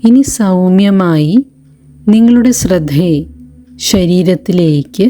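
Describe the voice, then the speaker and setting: A woman leading a guided meditation in a gentle, calm, deliberate way, leaving long pauses between short phrases. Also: fairly low pitch, faint music behind. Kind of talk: slow guided meditation narration.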